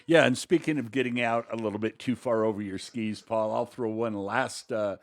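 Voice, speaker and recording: A man's voice: lowish voice, fairly even intonation, moderate pace. A man co-hosting a talk podcast, offering conversational economic commentary in a tentative, loosely phrased way. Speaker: podcast co-host.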